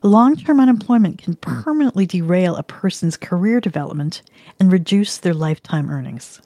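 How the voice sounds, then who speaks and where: Mellow-voiced female documentary narrator. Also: fairly low pitch, moderate pace, dry recording. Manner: calm, even, explanatory.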